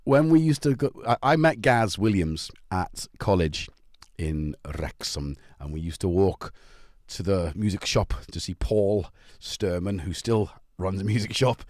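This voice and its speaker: Man, North Welsh accent, middle-aged, livestream host, casual and jokey, animated pitch, dry sound. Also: a little slow, no echo. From North Wales. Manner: chatty and jokey, greets viewers by name. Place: home.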